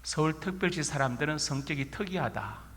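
Bright-voiced pastor with a regional accent. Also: male voice, on the high side, lively intonation, fairly quick, a little hiss or hum. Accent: Korean regional dialect. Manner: folksy storytelling with rhetorical questions. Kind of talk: sermon. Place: church sanctuary. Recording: long church reverb.

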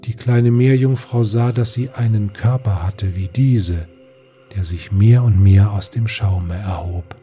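A man narrating a fairy tale audiobook in a measured, literary storytelling manner. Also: on the low side. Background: soft music.

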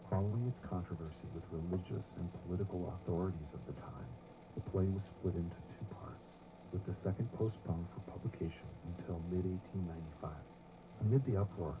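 A man reading scripted documentary narration in a formal, measured manner. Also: low-pitched, muffled recording, background noise.

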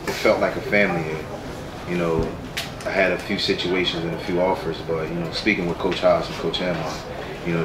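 A man in his early twenties from New Jersey, a college football player speaking earnestly at a press conference. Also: deep voice. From New Jersey, United States. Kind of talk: post-game press conference answers. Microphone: handheld microphone. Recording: roomy, distant mic, clear background noise.

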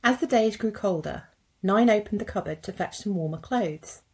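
Casting: female teacher, thirties, children's story narrator, mid-pitched, husky, deliberate phrasing. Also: a little mellow. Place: indoors at a computer.

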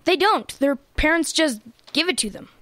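Woman as sassy enterprising daughter, high voice, animated, family radio comedy. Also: moderate pace, no echo.

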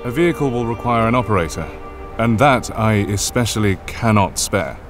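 An actor playing a pragmatic, unflappable expedition physician in an audio drama, speaking in a measured, dry, formal way. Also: male voice, on the low side, animated, music in the background, close to an everyday voice. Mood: firm.

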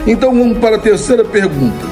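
A man in his fifties with a Brazilian accent, talking loosely into a phone at home. His voice sits high for a man, and faint music runs underneath. He chuckles at his own jokes and keeps tagging lines with "né?". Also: expressive, quick pace. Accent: Brazilian Portuguese. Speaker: comedy content creator answering viewer questions. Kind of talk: casual Q&A monologue. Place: home room, indoors. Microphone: old phone camera, close framing.

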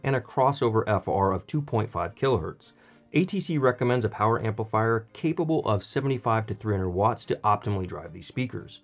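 Low-pitched man narrating a review. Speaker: product reviewer and channel host.

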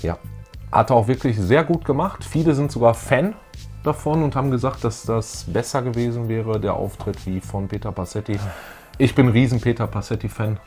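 A man's voice: mid-range voice, fairly quick, darkish tone, faint music behind. A middle-aged man co-hosting a fan show, chatting casually with emphatic enthusiasm. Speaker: co-host of a retro fan show. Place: small indoor room with collectibles.

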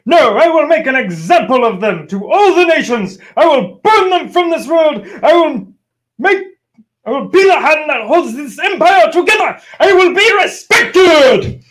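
A man playing a scheming, vengeful royal official in an old-time radio show, theatrical and halting. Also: very high voice, sing-song, a bit bright, heavily acted, low-quality recording. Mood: raging. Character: vengeful scheming male royal official, imperious, obsequious to the king.

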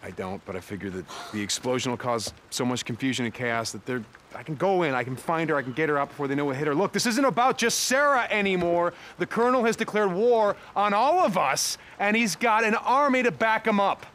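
An actor playing a rugged, defiant biker survivor in his thirties in a video game, with a gruff voice. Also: a little high, a little fast, few pauses, fairly bright tone, a little hiss or hum, natural voice. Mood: urgent, pleading. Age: 30s. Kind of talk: dramatic video game cutscene acting.

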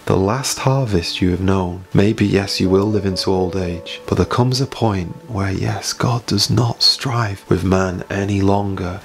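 A British man in his thirties preaching an evangelistic sermon in an earnest, pleading manner, with emphatic repetition and dramatic pauses. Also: low-pitched. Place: small home room, indoors. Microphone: boom-arm microphone off to the side. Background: faint background music.

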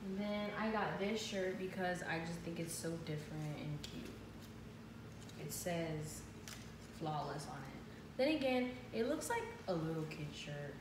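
A woman's voice: lowish voice, lively intonation, unhurried, recorded from a distance. A young woman chatting playfully through a clothing haul in her living room, with casual slang. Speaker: vlogger. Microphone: built-in camera mic from across the room. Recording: echoey room, voice off-mic, ambient noise.

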